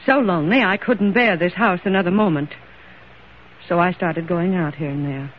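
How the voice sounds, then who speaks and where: An actress playing a lonely, discontented wife in an old-time radio drama, restrained and wounded in delivery. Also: female voice, fairly low pitch, moderate pace, close to an everyday voice. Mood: bitter, wounded. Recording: tinny, noisy old radio recording.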